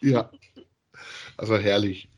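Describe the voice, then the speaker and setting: A man's voice: lowish voice, fairly even intonation, slow pace, darkish tone. Casual male podcast co-host. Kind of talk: casual chat about audio drama voice actors.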